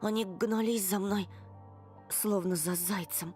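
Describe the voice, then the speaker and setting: A woman's voice: medium pitch, fairly even intonation, measured pace, darkish tone, lightly acted. A woman playing a frightened, earnest schoolboy with an anxious, pleading manner in a visual novel. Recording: music behind the voice. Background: music.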